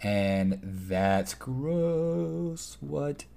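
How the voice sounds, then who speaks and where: A man's voice: medium pitch, animated, drawn-out words, gravelly, dark tone. A young man from Texas with a slight Texas twang, a podcast co-host in rambling casual chat. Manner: chatty, rambling, looks things up mid-talk.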